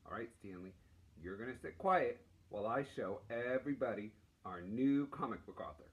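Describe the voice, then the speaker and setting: A man's voice: medium pitch, normal speed. A man in his forties, a teacher reading aloud to children in an encouraging, playful way. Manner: animated, encouraging. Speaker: primary school teacher. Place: small classroom, indoors.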